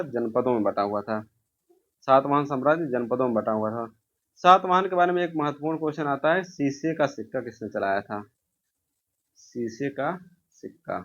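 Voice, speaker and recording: A man's voice: medium pitch, fairly bright tone. Male exam tutor, dull lo-fi sound. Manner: repeats key answers, asks and answers own questions. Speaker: exam-prep teacher. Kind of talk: informal quiz-review lecture, question then answer.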